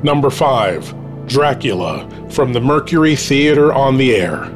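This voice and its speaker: Male horror host, unhurried, theatrical, scripted countdown narration, dramatic pauses, clear music behind. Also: medium pitch, animated.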